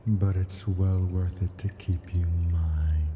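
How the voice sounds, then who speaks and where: Ancient male dragon, played by a man, ASMR roleplay: very dark, mellow, slow delivery, possessive and doting. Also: low-pitched, fairly even intonation, soft background music. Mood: smug, doting.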